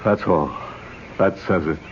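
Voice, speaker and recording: An actor in an old radio drama playing a stern, authoritative doctor with a measured, commanding manner. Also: male voice, low-pitched. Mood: solemn. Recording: muffled old radio recording with hiss.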